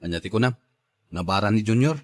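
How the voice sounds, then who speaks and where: A man's voice: on the low side, fast talker, a little mellow, lightly acted. A man with a Filipino accent playing an earnest young husband and father in a radio drama. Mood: affectionate.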